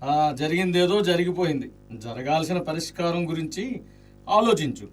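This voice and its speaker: A talkative, bantering companion to a ruined nobleman in a radio play. The voice is high for a male and sits back from the microphone, with theatrical, exclamatory delivery. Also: expressive, moderate pace, distant mic, faint music behind. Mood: earnest. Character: Maitreya, talkative jesting loyal male friend of a ruined nobleman. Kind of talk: Indian radio play acting.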